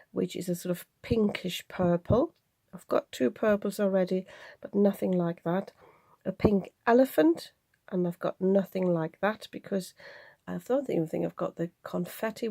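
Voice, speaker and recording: Woman doing a chatty haul video, mellow soft-edged tone, bit gravelly, kinda rambling. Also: medium pitch, no echo. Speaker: crafter presenting a haul video. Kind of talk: casual chatty product show-and-tell. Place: indoors at a craft desk.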